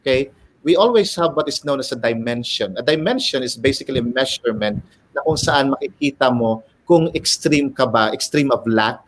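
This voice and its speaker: A man's voice: medium pitch, lively intonation, a little fast. A middle-aged man with a Filipino accent, an educator explaining ideas in an interview, switching between English and Filipino. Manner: lecturing, mixes English and Filipino. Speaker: educator guest.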